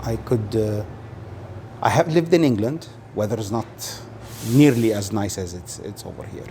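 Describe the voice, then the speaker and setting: A man's voice: lowish voice, normal speed, dark tone. Arabic-native man, noisy background. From Saudi Arabia. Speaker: interview guest. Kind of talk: casual interview answers.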